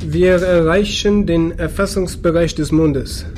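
A man's voice: a little high, steady tone, a little slow, music in the background, lightly acted. A man playing a wry, matter-of-fact spaceship pilot in a science fiction audio drama, with a dry reporting manner. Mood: dry, uneasy.

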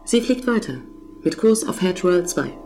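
A woman's voice: fairly low pitch, expressive, normal speed, warm tone, soft background music. A woman playing a female artificial intelligence of a space station in a science fiction audio drama, formal, matter-of-fact and dryly mocking. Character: cool, precise female AI of a space station, subtly jealous.

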